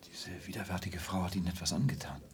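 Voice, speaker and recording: An actor playing a courteous, troubled loner in his late thirties in a radio drama, with a formal, hesitant, often hushed delivery. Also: deep voice, steady tone, fast, natural voice. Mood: agitated concern. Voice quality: husky, gravelly, often hushed. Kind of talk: German radio drama acting.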